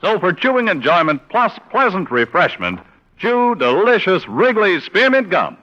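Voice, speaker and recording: An American man announcing and pitching a sponsor in upbeat, polished 1950s radio style. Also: big pitch swings, normal speed. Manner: upbeat, polished sales delivery. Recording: muffled vintage radio recording, faint music.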